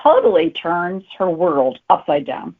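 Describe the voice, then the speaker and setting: A woman's voice: on the low side, measured pace. A woman talking casually as an author being interviewed. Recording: tinny phone-like line.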